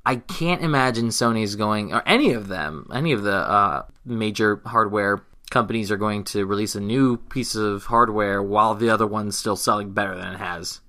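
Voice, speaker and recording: A man's voice: on the low side, moderate pace, few pauses, bright, forceful tone. A man chatting casually as a podcast co-host, with a jokey, self-deprecating manner.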